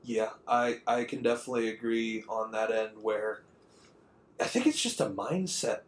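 A man's voice: fairly low pitch, normal speed. A man of about thirty co-hosting a podcast in a rambling, conversational way. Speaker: podcast co-host. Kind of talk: casual chat.

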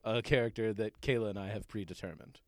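A man's voice: lowish voice, fast, no room sound. A man from Alabama co-hosting a comedy podcast, playful and teasing, laughing often. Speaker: podcast co-host. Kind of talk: casual comedic chat.

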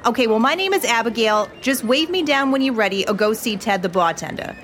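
Lady doing a chatty bar waitress, highish bouncy voice. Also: expressive, fairly bright tone, faint music behind. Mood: friendly. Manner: chatty, brisk. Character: friendly young female tavern waitress.

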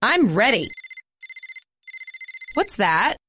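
Woman acting a fed-up, animated homeowner. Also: on the high side, extremely slow, slight background noise, heavily acted. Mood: eager. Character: fed-up woman homeowner, tired of patching the house. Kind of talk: scripted radio ad dialogue.

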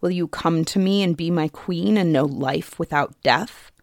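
A woman voicing a young male fairy king who is cold, mocking and menacing, speaking slowly and softly. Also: on the low side, no room sound, clean studio sound. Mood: taunting. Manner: slow, soft, taunting.

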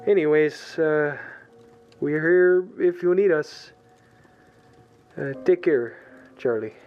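A man's voice: a little high, slow pace, faint music behind. A man playing an awkward, kindly police colleague, hesitant and folksy, on a muffled voicemail in an audio drama. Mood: hesitant reassurance. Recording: dull, muffled voicemail sound.

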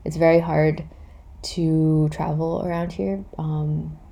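A thirty-year-old woman with a Canadian accent hosts a casual solo podcast. Her voice sits low and soft-edged, with plenty of vocal fry. She speaks candidly and reflectively, hesitating as she works out her answers. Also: level tone, takes it slowly. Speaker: podcast host.

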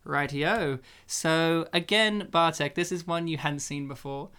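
A man's voice: on the high side, a little slow, pushed and bright, clean tone. A man hosting a casual movie podcast, talking in a jokey, rambling way and laughing often. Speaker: podcast host.

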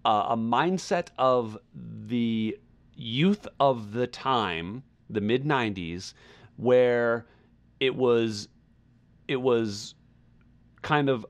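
A man with a middling pitch talks casually as a podcast reviewer. He gropes for the right words, repeating himself and circling back as he shapes his opinion. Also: drawn-out words. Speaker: podcast co-host reviewing music. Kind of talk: casual conversational album review.

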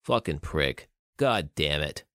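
A man voicing a gruff, businesslike older criminal for an audiobook, with a curt, commanding drawl. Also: very deep voice, lively intonation, slow, sharp tone, dry recording, clean studio sound, voice pushed down, exaggerated voice. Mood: frustrated, angry.

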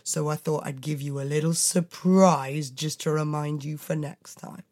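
A woman voicing a teasing dad who gently scolds his son in a children's story. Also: low voice, steady tone, moderate pace, pitched below the performer's own voice, natural voice.

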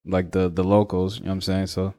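A man's voice: deep voice, level tone, quick pace, mellow. An American man hosting a podcast in casual, slangy interview chat. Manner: informal, slangy, swearing. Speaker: podcast host.

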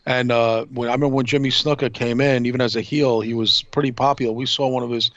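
A man, co-hosting a podcast and telling stories casually in short, measured phrases. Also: mid-range voice, steady tone, fairly bright tone, dry recording. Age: 50s. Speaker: podcast co-host. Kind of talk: casual reminiscing panel chat. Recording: dull lo-fi audio.

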